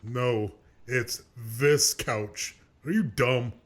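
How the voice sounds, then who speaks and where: A man playing a vain, cowardly, excitable cartoon character with a theatrical, whiny and boastful delivery. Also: mid-range voice, extremely slow. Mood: irritated. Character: vain, cowardly, excitable man who insults his rival. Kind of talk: comedic cartoon voice acting.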